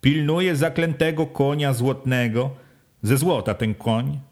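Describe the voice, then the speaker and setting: A man voicing a forceful village official who drives home his questions in a raised, insistent voice. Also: mid-range voice, steady tone, unhurried, pitched above the performer's own voice, close to an everyday voice. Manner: rising, insistent questioning, loud and sharp. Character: forceful village official, a middle-aged man, pressing and sly.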